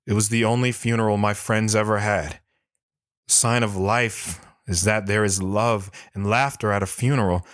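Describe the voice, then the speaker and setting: A man playing a guarded, stoic wandering veteran in an audio drama, telling his story in a somber, measured way with short, plain sentences. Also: on the low side, steady tone, fairly bright tone, natural voice. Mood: somber, reflective. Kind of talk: dramatic first-person audio drama narration. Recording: music behind the voice.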